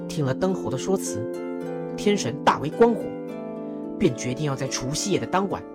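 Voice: medium pitch, expressive, moderate pace, music in the background, close to an everyday voice. A performer voicing a learned male court advisor who explains a folk legend in a radio drama. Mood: explaining. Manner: explaining, storytelling.

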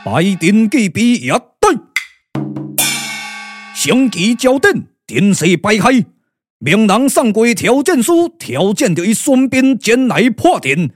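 A man's voice: high-pitched, sing-song, takes it slowly, dry recording, soft background music, heavily acted. An actor with a Taiwanese accent playing an imperious male warlord, declaiming commands in puppet radio drama style. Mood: imperious, menacing.

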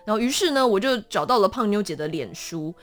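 A woman with a Taiwanese Mandarin accent, telling stories as a guest in a conversational interview with a dramatic, animated delivery. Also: mid-range voice, a little fast, faint music behind. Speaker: voice actor guest.

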